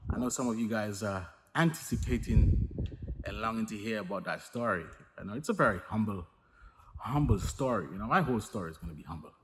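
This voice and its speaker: A middle-aged man from Dominica, telling his childhood story in a relaxed, rambling vlog full of fillers and repeated words. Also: lowish voice, fairly quick. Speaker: vlogger. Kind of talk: casual personal storytelling. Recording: faint noise with long reverb.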